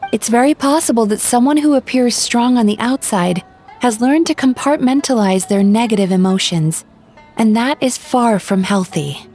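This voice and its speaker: An actress voicing a wise, composed young woman in an anime game, speaking in measured, formal counsel over music. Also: on the high side, darkish tone. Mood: thoughtful. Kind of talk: anime game dialogue acting.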